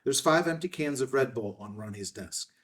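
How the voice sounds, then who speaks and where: Male narrator for a script reading, medium pitch, a little away from the mic. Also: animated, moderate pace, fairly bright tone, distant mic. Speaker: stage-direction narrator. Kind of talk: scripted narration, screenplay table read.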